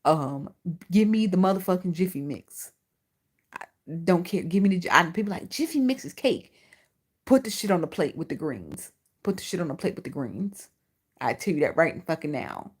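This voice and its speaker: A woman's voice: lowish voice, normal speed, husky. A woman with a Chicago accent and a lisp, chatting bluntly and profanely on a livestream as its host. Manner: blunt, profane and animated, answering chat. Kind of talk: casual livestream chat.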